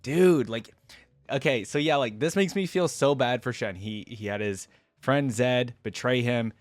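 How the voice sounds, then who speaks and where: A man's voice: medium pitch, measured pace, dry recording. A man hosting a reaction video, moving between casual commentary and reading story text aloud.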